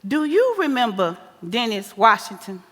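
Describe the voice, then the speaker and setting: Actress as sassy older American mother, bright high voice, folksy scolding advice, theatrical delivery, echoing hall. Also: normal speed. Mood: scolding, exasperated. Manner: animated, folksy, preachy. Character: sassy, scolding, wise older mother advising her married daughter. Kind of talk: theatrical stage-play acting. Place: live stage in a theater. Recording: echoey theater hall with long reverb.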